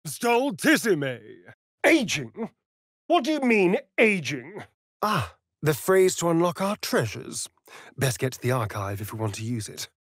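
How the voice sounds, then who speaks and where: A man's voice: fairly high pitch, sing-song, unhurried, a bit bright, dry recording, clean studio sound, full character voice. A man with an English accent playing a sardonic, aristocratic vampire rogue in a video game, arch, drawling and haughty. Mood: exclaiming. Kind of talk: video game character dialogue.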